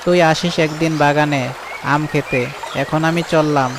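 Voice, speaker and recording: A friendly cartoon jackal played by a man, busy and eager. His male voice sits a little high and chats readily. Faint crickets and running water sound behind him. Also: steady tone, unhurried, background noise. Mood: cautious, chatty. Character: friendly, hardworking male jackal orchard keeper. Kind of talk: cartoon voice acting.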